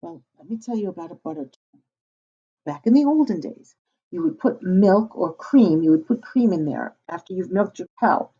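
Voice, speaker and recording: A woman's voice: on the low side, expressive, moderate pace, husky. A middle-aged woman teacher reading a storybook aloud to children and stopping to explain words. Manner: warm, explaining word meanings to children. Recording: slightly dull lo-fi recording.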